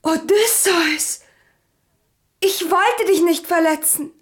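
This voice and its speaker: A woman's voice: extremely high pitch, slow. An actress playing a proud, capricious young woman in a radio play, dramatic and cutting toward her lover. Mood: contrite, teasing.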